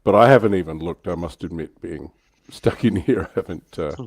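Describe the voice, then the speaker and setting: A man's voice: low-pitched, fairly quick, a little hiss or hum. A man hosting a livestream, chatting casually and fielding questions from viewers.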